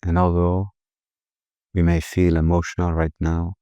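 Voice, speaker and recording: Male meditation guide, deep voice, soft mellow tone, slow soothing phrasing, unhurried pauses. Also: monotone, clean tone, dry recording, lo-fi sound.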